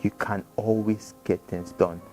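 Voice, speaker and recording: Guy in his 30s, podcast co-host, low-pitched, slangy and animated, faint music under. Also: a little slow. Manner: slangy, animated storytelling with acted quotes. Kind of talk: casual chat.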